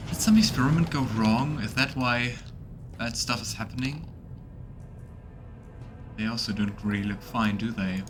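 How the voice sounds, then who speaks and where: A man's voice: mid-range voice, normal speed, long pauses, background noise. A man streaming a video game, musing aloud and reading out in-game notes in casual commentary. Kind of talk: casual let's play commentary.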